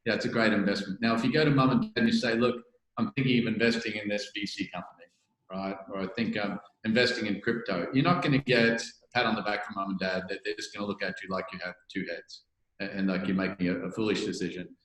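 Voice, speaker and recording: A man's voice: fairly low pitch, fairly even intonation, fast talker, fairly bright tone. A man being interviewed as a guest, explaining things conversationally and often tagging on "right?". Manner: explanatory, many restarts and "right?" tags. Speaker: venture capital partner, guest. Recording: echoey room.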